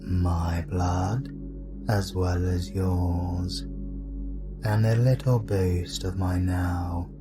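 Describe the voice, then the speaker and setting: An actor playing a cold, scheming dark deity in a fantasy audio drama, condescending and menacing, with drawn-out deliberate delivery. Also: male voice, deep voice, steady tone, loud music behind the voice. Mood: scheming, triumphant.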